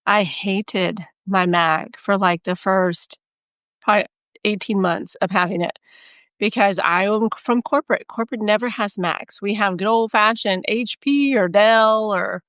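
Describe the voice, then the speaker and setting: A woman's voice: mid-range voice, unhurried, a bit bright, no room sound. Chatty Texan woman, self-mocking. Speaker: podcast co-host. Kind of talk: casual chat.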